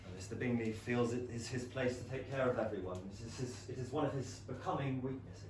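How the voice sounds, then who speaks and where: An actor playing a proud, aloof Regency gentleman with a formal, dry, clipped manner. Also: mid-range voice, quick pace, lightly acted. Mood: dry, stiff. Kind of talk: period comedy stage acting. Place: small London theatre. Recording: very echoey theatre, far mic, faint noise.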